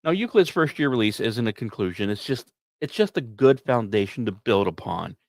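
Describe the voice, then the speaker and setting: A male science podcast host with an average-pitched voice reads semi-scripted commentary. He slips in wry asides and sometimes trips over or repeats a word. The sound is dry, with very little room in it. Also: expressive, moderate pace, no echo.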